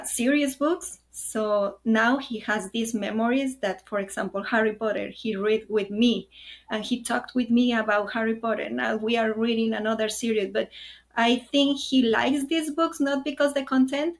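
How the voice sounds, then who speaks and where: A woman from Mexico whose first language is Spanish, a parent guest talking about her family in simple, unhurried English. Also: on the high side. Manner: warm, simple phrasing in second-language English. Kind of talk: casual discussion.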